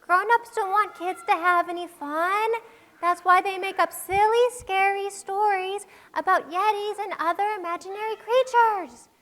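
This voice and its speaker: A woman's voice: very high-pitched, steady tone, normal speed, fairly bright tone. A woman playing a bold, stubborn little girl with a sassy, defiant manner in a children's puppet show, in a reverberant hall.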